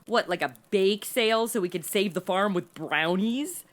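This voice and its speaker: A woman playing a sassy, sarcastic modern American young woman in a comedy audio drama, speaking in animated slang. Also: medium pitch, normal speed, close to an everyday voice. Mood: excited, silly. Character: sassy, bored modern young woman stuck in Old West.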